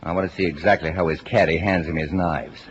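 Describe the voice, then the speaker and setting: A man's voice: deep voice, steady tone, brisk. An actor playing a pompous, bossy father in a 1950s radio comedy, with an imperious, clipped manner. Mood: exasperated, imperious. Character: pompous, bossy, self-assured father and efficiency expert. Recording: muffled, noisy old radio broadcast.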